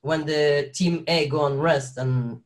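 A young man from Belgium speaks English with a soft French touch. He talks in a loose, rambling way, restarting sentences and laughing often as he tells his story. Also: a little high, steady tone, slow. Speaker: guest actor. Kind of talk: casual interview chat.

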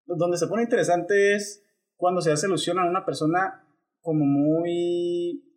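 A man with a Mexican accent, co-hosting a casual podcast chat and explaining a term in a relaxed, rambling, joking way. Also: high-pitched, a little slow. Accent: Mexican Spanish.

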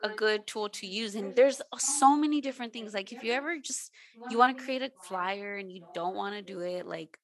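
A woman's voice: medium pitch, normal speed, hardly any pauses. A woman co-hosting a casual chat, rambling with lots of fillers and restarts. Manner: rambling, filler-heavy with many "like"s. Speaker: podcast co-host.

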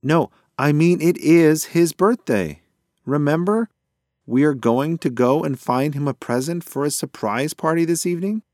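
Man as a spirited, higher-pitched girl mouse. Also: lively intonation, moderate pace, darkish tone, dry recording, studio quality. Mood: eager, insistent. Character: spirited, bossy young female mouse, pigeon's friend. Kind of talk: children's storybook character voice.

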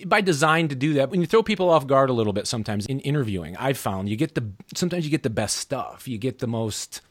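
A man's voice: medium pitch, lively intonation, fast. A man in a mid-range voice asks probing questions and encourages his guest in easy conversation. His voice sounds dry and close, with no room echo. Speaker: interviewer. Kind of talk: casual interview chat.

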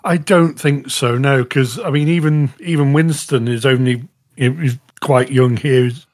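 A man chatting casually as a podcast panellist, halting and rambling, often saying "you know". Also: mid-range voice, steady tone, a little mellow. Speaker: podcast panellist reviewing shows. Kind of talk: casual chat.

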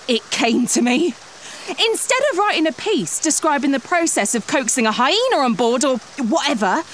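A woman's voice: high-pitched, a bit bright, a little hiss or hum, full character voice. An actress playing an earnest, suspicious woman writer turned amateur detective, dramatic and insistent, in an audio comedy mystery. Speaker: lead actor and first-person narrator.

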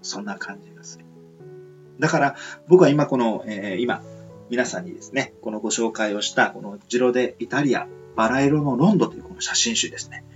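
A man's voice: medium pitch, a little fast, soft background music. A man hosting an amateur radio show in a rambling, reflective monologue, hesitant and searching for words in a polite, earnest way. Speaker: radio host. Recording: dull lo-fi audio.

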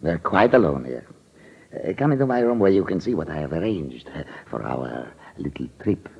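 Scheming con man, low husky voice, halting and theatrical, nervous, old radio drama, muffled recording. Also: very animated, full character voice. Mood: uneasy, haunted. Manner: hesitant, halting, theatrical delivery. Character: nervous scheming male con man who kills rich wives. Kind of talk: 1940s radio drama acting.

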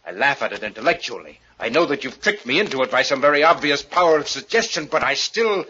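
An actor playing a tormented professor husband, obsessed and bewildered, in an old radio thriller with intense, deliberate dramatic phrasing. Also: male voice, mid-range voice, animated, pushed and bright, a little hiss or hum. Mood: obsessed, menacing. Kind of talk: 1940s radio thriller acting. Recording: dull, muffled old radio recording.

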